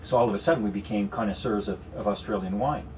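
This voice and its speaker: A man's voice: fairly low pitch, quick pace, darkish tone, distant mic, a little hiss or hum. A man with a Canadian accent, a guest strategist explaining investments in a radio studio conversation, with many "you know"s. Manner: explanatory, talks in long runs with frequent "you know".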